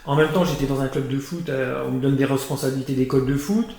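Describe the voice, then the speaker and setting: A man in his thirties telling his working life as an interview guest, animated and full of restarts. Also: mid-range voice, quick pace. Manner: animated storytelling with many restarts and fillers. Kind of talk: conversational life-story interview. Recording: very echoey room.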